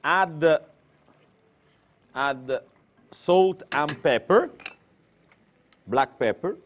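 A man's voice: a little high, animated, extremely slow, slight background noise. Italian chef, male, slightly raised voice, talks as he cooks in broken, friendly English. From Tuscany, Italy. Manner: chatty, broken English mixed with Italian interjections. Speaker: chef and cooking demonstrator. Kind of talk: live cooking demonstration. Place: kitchen demonstration set.

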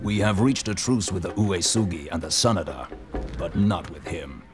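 Male actor as stern samurai official, lowish voice, formal, clipped, cold, game drama, music behind. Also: moderate pace, natural voice. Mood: probing. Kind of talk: English game dub acting.

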